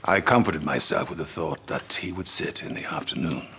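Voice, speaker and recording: Very deep, gravelly gentleman memoirist. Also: moderate pace, a little hiss or hum, heavily acted. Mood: dramatic, weary. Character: worldly, wry old-world gentleman recounting his memoirs. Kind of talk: dramatic first-person memoir narration.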